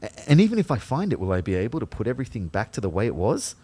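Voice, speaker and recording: A man's voice: fairly low pitch, huge pitch swings, very fast, warm tone, breathy edge, heavily acted. A man playing an anxious, lovelorn dead young man, narrating his limbo introspectively in the first person for an audio drama. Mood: anxious, wistful. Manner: introspective, intent.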